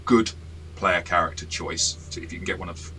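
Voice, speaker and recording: Deep-voiced man reviewing a game module. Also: moderate pace, bright, forceful tone, slight background noise, poor audio. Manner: chatty, self-deprecating, restarts often. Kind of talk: casual review chat.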